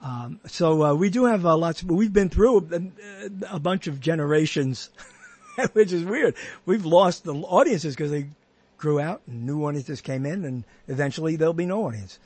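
A man's voice: fairly high pitch, moderate pace, a little mellow, slightly rough, no echo. A man hosting a podcast, chatting casually and rambling with many restarts and half-finished thoughts. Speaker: podcast host. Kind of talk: casual interview chat.

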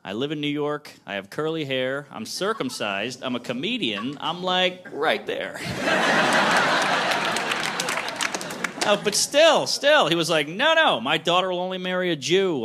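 A man doing a stand-up set on a club stage, with a lively, self-mocking delivery. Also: fairly high pitch, normal speed. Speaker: stand-up comedian. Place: comedy club stage before laughing audience. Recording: loud crowd laughter and noise.

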